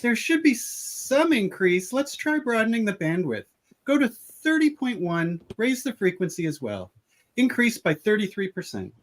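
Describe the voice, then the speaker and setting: A woman playing a concerned female starship doctor in an amateur podcast radio play, with an animated manner. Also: mid-range voice, moderate pace, natural voice. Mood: puzzled, focused.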